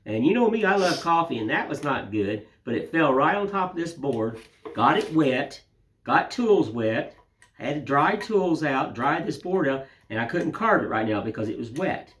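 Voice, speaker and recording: Older man, hoarse and raspy from a cold; folksy rambling host, slightly higher voice. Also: very animated, moderate pace, fairly bright tone. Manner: rambling, homespun, folksy filler phrases. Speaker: woodcarving channel host. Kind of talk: casual chat to camera.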